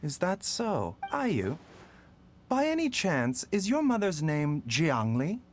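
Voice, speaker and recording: An actor voicing a courteous, gentle young doctor in a video game, speaking in a measured way. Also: male voice, fairly high pitch, wildly swooping pitch, a little hiss or hum, heavily acted. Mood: calm. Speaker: pharmacy doctor. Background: soft game music.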